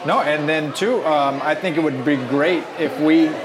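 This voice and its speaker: A man's voice: fairly high pitch, normal speed, background noise. A man chatting casually as an interview host. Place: video call.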